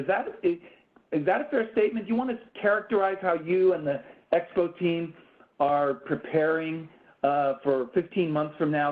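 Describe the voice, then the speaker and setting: Casting: male moderator, polite and halting, tinny phone-like video call sound. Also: a little high. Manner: polite, hesitant, restarts.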